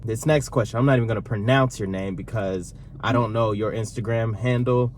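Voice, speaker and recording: Guy in his 30s, lowish voice, laid-back rambly q&a chat, bit of hiss behind. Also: fairly quick. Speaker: vlog co-host. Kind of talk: casual sit-down Q&A chat.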